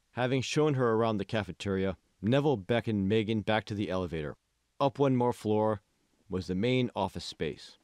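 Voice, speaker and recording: Man, audiobook narrator, author reading, lower pitch, dry sound. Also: a little mellow, no echo.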